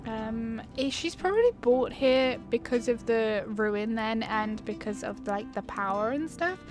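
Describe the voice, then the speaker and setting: Gameplay host, woman, highish voice, chatty and giggly, thinking aloud over clearly audible game music. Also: expressive, unhurried. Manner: chatty, giggly, musing to herself. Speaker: gaming video host. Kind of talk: casual gameplay commentary.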